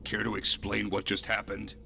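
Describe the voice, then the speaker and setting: An actor playing a stern, exasperated fantasy game official, blunt and reproachful, with a gravelly voice in a reverberant hall. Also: male voice, lowish voice, completely flat delivery, moderate pace, sharp tone, a little hiss or hum, heavily acted. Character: stern, exasperated male official in charge of a district. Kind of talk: fantasy game character acting.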